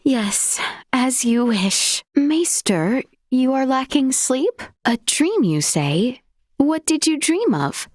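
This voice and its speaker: A woman's voice: high voice, sing-song, takes it slowly, a little mellow, dry recording, studio quality, exaggerated voice. An actress playing a polite, earnest young noblewoman in a fantasy video game, speaking in formal, archaic, courteous phrases. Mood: gentle, devoted. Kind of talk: video game character voice acting.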